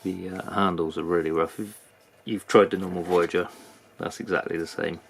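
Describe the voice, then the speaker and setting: A man with a British accent giving a casual, unscripted product review in a halting manner. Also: low-pitched, steady tone, gravelly, a little hiss or hum. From United Kingdom. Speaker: knife reviewer. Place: indoors at a workbench.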